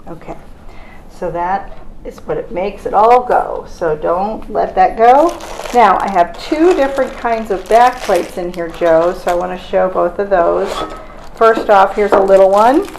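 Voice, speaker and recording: A woman's voice: medium pitch, unhurried, vocal fry, darkish tone, a little hiss or hum, low-quality recording. A woman hosting a casual product unboxing in an informal, conversational way with her co-presenter. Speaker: host.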